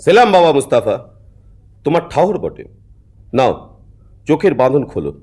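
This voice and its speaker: A man voices a sly cartoon bandit henchman. The voice is husky and animated, swinging up and down in theatrical, coaxing dialogue. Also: a little high, moderate pace. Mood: coaxing, teasing. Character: wheedling male bandit henchman, sly and watchful.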